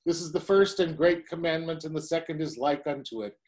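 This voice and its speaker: A man's voice: on the high side, brisk, darkish tone. A man in his sixties, a pastor, preaching a sermon from home to a webcam in a chatty way, with wry asides.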